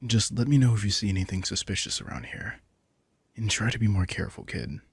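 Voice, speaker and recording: A man's voice: on the low side, lively intonation, a little fast, gravelly, slightly rough, no echo, exaggerated voice. A man playing a centuries-old vampire in an intimate audio drama, speaking casually and dryly, sardonic and teasing yet protective, with some swearing. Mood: protective, condescending. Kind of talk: intimate roleplay audio drama, conversational acting.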